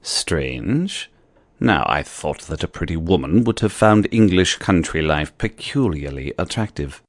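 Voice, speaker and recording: A man reading an audiobook, voicing a shrewd, mocking middle-aged Frenchman who speaks quietly and slowly with dry gallantry. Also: deep voice, expressive, no echo, voice pushed down, heavily acted. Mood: sly, gallant. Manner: quiet, slow, dryly gallant.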